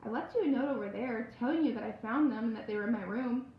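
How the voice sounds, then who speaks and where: A woman's voice: fairly high pitch, quick pace, muffled recording, natural voice. A woman voicing an anxious, exasperated young girl in a read-aloud storybook, earnest and urgent. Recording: roomy echo, faint background noise.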